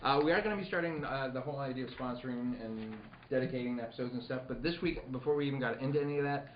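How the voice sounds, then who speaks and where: Male podcast host, medium pitch, conversational announcer, slightly muffled and echoey with light background noise. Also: rapid-fire. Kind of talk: casual podcast hosting. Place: indoor studio. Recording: roomy, dull, faint background noise.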